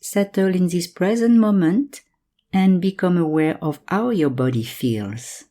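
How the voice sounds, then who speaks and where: A woman guiding a yoga nidra meditation in calm, measured instructions, leaving pauses between phrases. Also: on the low side. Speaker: meditation guide.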